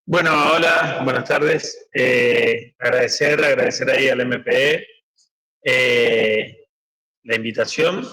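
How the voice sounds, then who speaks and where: A man opens a talk informally with chatty, anecdotal remarks. His voice sits at a medium pitch and has a bright, sharp edge. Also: takes it slowly, low-quality recording. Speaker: guest speaker at a book presentation. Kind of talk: informal opening remarks to an audience. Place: indoor book presentation.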